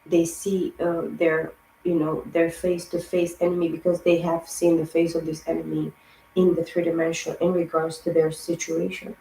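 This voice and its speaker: A woman giving a rambling spiritual tarot reading, hoarse and losing her voice, piling up words in long lists. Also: fairly low pitch, flat delivery, normal speed, mellow, roomy sound, a little hiss or hum, rough recording. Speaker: tarot reader.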